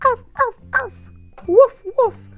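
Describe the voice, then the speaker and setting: A woman voicing a small playful puppy with high, excited woofs and arfs. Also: takes it slowly, creaky, narrow, tinny audio, faint music behind, exaggerated voice.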